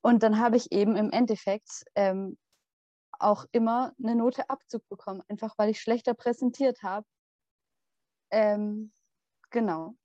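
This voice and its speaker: A young woman of twenty-one talks casually in a mellow, dry voice, pausing often with fillers. The recording is dull and a bit lo-fi, with no room sound. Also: medium pitch, fairly even intonation, dry recording. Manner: hesitant, many fillers. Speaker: student podcast co-host. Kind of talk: casual chat.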